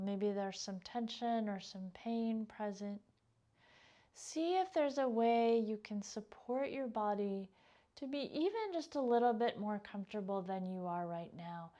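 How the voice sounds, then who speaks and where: A woman leading a guided meditation as a yoga teacher, speaking gently and without hurry, her phrases trailing off softly. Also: medium pitch, unhurried, highs cut off.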